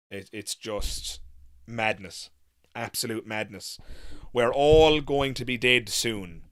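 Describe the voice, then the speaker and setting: Male livestreamer, 30s: lowish, bright, forward voice, rambling and reflective, casual chat with emphatic repeats. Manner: musing, rambling, emphatic repetition. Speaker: livestream podcast host.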